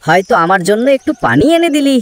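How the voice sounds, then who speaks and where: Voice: mid-range voice, very animated, quick pace, close to an everyday voice. An actor plays a crafty male fox from a children's cartoon. The delivery swoops dramatically up and down, wheedling and falsely sweet, with theatrical mock friendliness. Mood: slyly challenging. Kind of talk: children's cartoon story dialogue.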